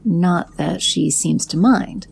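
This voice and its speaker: A woman narrating an audio drama in an even, descriptive way. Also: fairly low pitch, takes it slowly, creaky.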